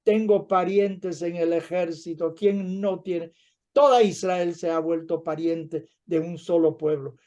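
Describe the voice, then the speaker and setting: A man's voice: on the high side, steady tone, a little slow, darkish tone. A man, a rabbi, hosting the event and earnestly introducing and thanking the guest speaker.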